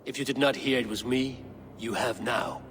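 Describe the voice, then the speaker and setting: An actor playing a bold, defiant Norse warrior in a video game, speaking in a measured, sardonic way. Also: male voice, mid-range voice, fairly even intonation, a bit bright, close to an everyday voice. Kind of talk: dramatic video game acting. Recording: noisy, long hall reverb.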